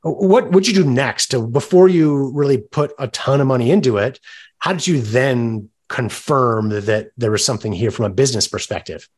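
A man's voice: medium pitch, normal speed, darkish tone, slightly rough. A man hosting a podcast, interviewing in a casual, conversational way with chatty, affirming remarks.

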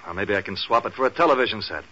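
Bullying American police detective: hard, bright attack, needling sarcasm. Dull vintage radio sound. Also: male voice, on the low side, expressive, rapid-fire, husky, full character voice. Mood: needling, smug. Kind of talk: 1940s radio noir acting. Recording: dull old radio recording.

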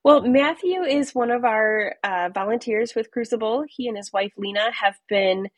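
Husky-voiced woman hosting a podcast. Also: on the high side, moderate pace, no room sound. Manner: friendly, affirming. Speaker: podcast host. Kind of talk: podcast interview.

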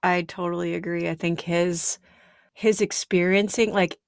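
A woman's voice: fairly low pitch, steady tone, a little slow, creaky, clear, resonant tone, dry recording, studio quality. A woman speaking earnestly and emphatically in a casual faith conversation, building her points with repetition.

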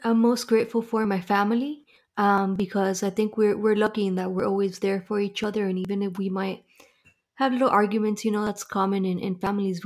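A woman's voice: medium pitch, a little mellow. Young miami woman, chatty podcast guest, lots of um and you know. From Miami, Florida. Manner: friendly, chatty with frequent fillers and restarts. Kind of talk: casual interview answers.

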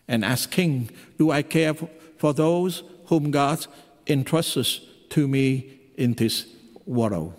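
A man with a Vietnamese accent, preaching a homily as a priest, pausing often with fillers. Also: a little high. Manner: halting, with frequent fillers. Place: church. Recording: long hall reverb in a church.

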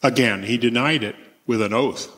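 A man's voice: mid-range voice, normal speed, a bit bright. Man reading scripture aloud in long reverb. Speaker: church member reading as narrator.

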